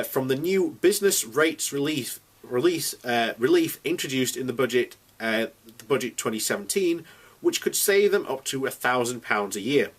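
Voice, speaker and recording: A man's voice: mid-range voice, a bit bright. A man with a Yorkshire accent, commenting casually and reading news aloud with frequent ums and self-corrections. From Yorkshire, England. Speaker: vlogger.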